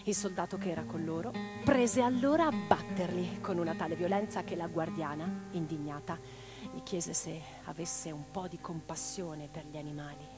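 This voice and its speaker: A woman's voice: lowish voice, moderate pace, close to an everyday voice. A woman playing an impassioned, tender revolutionary writer in a radio drama, speaking in a lyrical, declamatory way. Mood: tender, sorrowful. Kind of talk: theatrical radio drama monologue and dialogue. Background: plucked guitar music.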